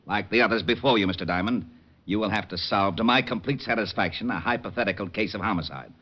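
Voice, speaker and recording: A man's voice: fairly low pitch, fast talker, lightly acted. An American man acting a blustery, easily flustered police lieutenant in an old radio drama. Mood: stern. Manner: blustery, exasperated. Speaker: actor playing a police lieutenant. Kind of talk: 1940s-50s radio detective drama acting. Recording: muffled old radio recording.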